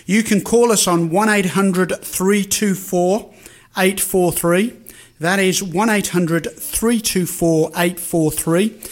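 Radio host, higher voice. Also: male voice, slow pace. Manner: relaxed, rambling with fillers.

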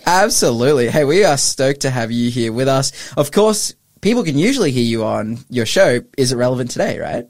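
A man's voice: medium pitch, big pitch swings, brisk, hardly any pauses, no room sound. A male radio host who interviews a guest in a studio, upbeat and chatty, often restarting his sentences.

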